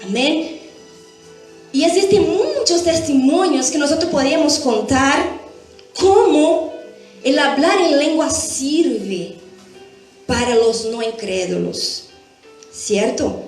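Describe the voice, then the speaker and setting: A woman with a high voice teaches the Bible to a church. She explains and tells testimonies, checking in with short tag questions. The sound is heavily echoing, with faint music underneath. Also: expressive, slow pace, pauses often, a little mellow. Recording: very echoey room, faint music behind.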